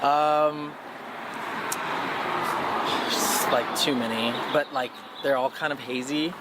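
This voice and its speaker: A man's voice: medium pitch, fairly even intonation, takes it slowly, heavy background noise. A young man from the Los Angeles area, a musician being interviewed, talking casually and loosely with many fillers and easy laughs. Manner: laid-back, laughs easily, many fillers. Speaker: musician guest. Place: outdoors on a sidewalk.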